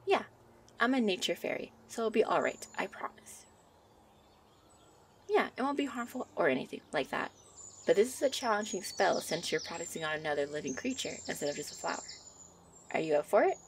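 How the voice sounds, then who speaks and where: A woman voicing a shy, gentle young nature fairy who teaches affectionately in a soft ASMR roleplay. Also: medium pitch, slight background noise, lightly acted. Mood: encouraging, playful. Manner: soft, encouraging, affectionate, giggly. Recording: birdsong and outdoor ambience behind. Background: birdsong ambience.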